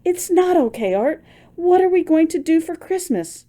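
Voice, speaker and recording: A woman's voice: sing-song, normal speed, mellow. Woman voicing a tearful young mother. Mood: distressed. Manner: high, urgent and tearful. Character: young mother in distress, emotional, pleading.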